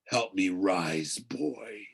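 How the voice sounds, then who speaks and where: A man voicing an ancient, cold, evil presence from a pit, speaking slowly in a hushed, menacing, heavy way for a storybook. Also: low voice, lively intonation, vocal fry, slightly rough, full character voice. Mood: hungry, commanding.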